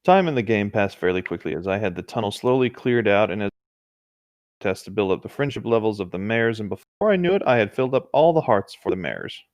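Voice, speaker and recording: A man with a somewhat low voice narrates a creepy story as a co-host, dropping into casual remarks. He is recorded close and dry, with no room sound. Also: expressive, fairly quick, a little mellow, no room sound. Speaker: co-host reading video game creepypastas. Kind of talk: story reading with casual asides.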